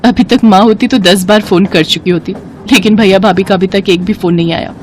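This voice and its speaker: A woman's voice: medium pitch, fairly even intonation, brisk, darkish tone, a little hiss or hum. A woman reading scripted storytelling narration for a Hindi-style family cartoon.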